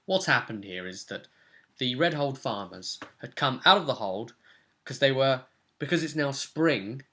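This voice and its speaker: Bright-voiced young man recapping a game. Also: medium pitch, expressive, unhurried. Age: young adult. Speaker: host, game master. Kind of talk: casual recap of a tabletop role-playing session. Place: small furnished room at home.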